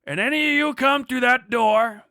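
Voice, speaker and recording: A man's voice: very high-pitched, normal speed, pushed and bright, no echo, studio quality, pitched above the performer's own voice. A man playing a defiant, threatening outlaw from a Western film, giving commanding warnings. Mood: menacing. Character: defiant aging Western outlaw with a shotgun, menacing.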